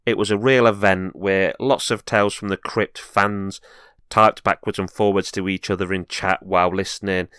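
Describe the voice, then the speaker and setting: A man's voice: sits low, steady tone, normal speed, fairly bright tone, clean tone, no room sound, studio quality. A man presenting a semi-scripted review as host, speaking in an earnest, enthusiastic way. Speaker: host and reviewer of horror films.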